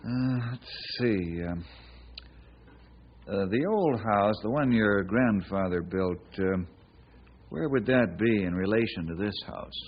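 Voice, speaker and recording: Steady forest ranger, kindly, husky voice, lively intonation, old-time radio western, dull lo-fi sound. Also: male voice, fairly low pitch, slow, slight background noise. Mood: probing, thoughtful.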